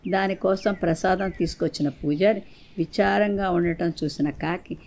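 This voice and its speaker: A woman's voice: fairly low pitch, moderate pace, a little mellow. A woman narrating a scripted moral folktale for children as a storyteller.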